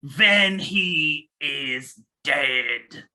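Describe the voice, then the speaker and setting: A man playing a crazed, grieving old steward lord in a fantasy script read, with a strained raspy voice and theatrical, bitter delivery. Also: a little high, expressive, drawn-out words, sharp tone, heavily acted. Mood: bitter, accusing. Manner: theatrical, declaiming.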